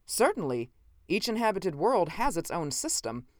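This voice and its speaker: Man reading as a brisk, formal space officer. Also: high-pitched, sing-song, darkish tone, no echo, clean studio sound, voice pushed up. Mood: puzzled, impatient. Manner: clipped, formal, authoritative.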